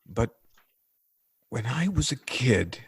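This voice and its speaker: Guy acting a north american working joe in an old radio play, slangy, halting, earnest and bitter at the rich, close dry sound. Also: medium pitch, very animated, gravelly, husky, no echo, exaggerated voice. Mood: halting, unsure. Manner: halting, groping for words, slangy American everyman. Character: plain-spoken working-class man, earnest, bitterly indignant at the rich. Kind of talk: 1940s radio drama acting.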